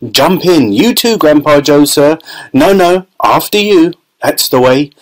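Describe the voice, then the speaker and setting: A man voicing an excitable, whimsical candy maker who talks in giddy, exclamatory bursts. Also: fairly high pitch, measured pace, pitched above the performer's own voice, rough recording. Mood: delighted.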